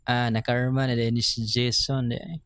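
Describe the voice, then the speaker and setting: A man's voice: mid-range voice, little pitch movement, a little fast, clear, resonant tone, no echo. A man with a Visayan accent chattily reading a listener's letter as host of a live radio advice show, addressing the listener like a buddy. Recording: loud guitar music behind the voice. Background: plucked guitar music.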